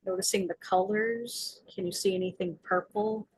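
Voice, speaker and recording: A woman leading a guided meditation as its guide, speaking in gentle, soothing invitations with long pauses. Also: mid-range voice, fairly even intonation, breathy edge, poor audio.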